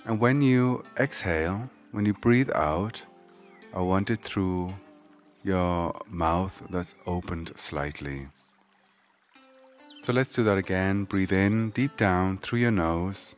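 A man leading a guided meditation, giving gentle, measured spoken instructions. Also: low voice, faint music behind.

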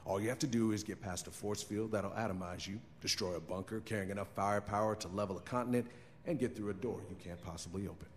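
An actor playing a steady, commanding male resistance leader in a video game, firm and earnest. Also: on the low side, fast, in a large hall, a little hiss or hum, close to an everyday voice. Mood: briefing, resolute.

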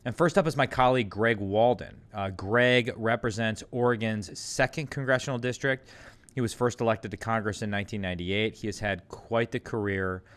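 A man hosting a podcast interview, joking and relaxed, with frequent ums and uhs. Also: on the low side, normal speed, clear, resonant tone. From Midwest. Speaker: podcast host, congressman. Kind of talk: casual interview.

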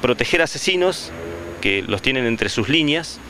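Man in his 40s, union spokesman, bright tense voice, street mic, faint noise. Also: mid-range voice, lively intonation. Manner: denouncing, measured. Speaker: union leader. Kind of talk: press statement. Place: outdoors on a town street. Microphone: handheld reporter mic.

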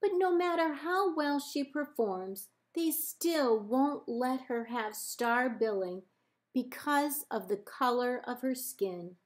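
A woman librarian reading a children's picture book aloud in measured, deliberate phrases with pauses. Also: high-pitched, lively intonation. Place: indoors, book held up to camera.

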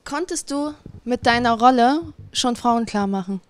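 A woman's voice: a little high, a little slow, smooth. A young woman hosting a casual interview, asking questions into a handheld microphone. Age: young adult. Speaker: interviewer, host. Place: indoor room, seated on a couch. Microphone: handheld microphone, close.

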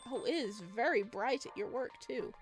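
A woman's voice: fairly high pitch, moderate pace, soft background music. A woman hosting a gaming video, reading visual novel narration expressively with casual asides.

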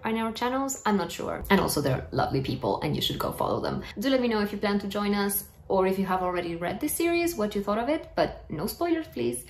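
A woman's voice: medium pitch, slight background noise. A woman in her thirties from South America, a book vlogger chatting casually to camera in a small room. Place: small plain room at home, indoors. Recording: roomy indoor echo.

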